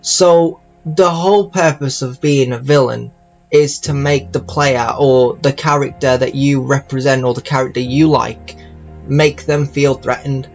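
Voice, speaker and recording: A man giving casual, rambling game commentary like an audio log, halting and often circling back to repeat his phrases. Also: mid-range voice, steady tone, lo-fi sound, soft background music. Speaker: YouTuber reviewing games.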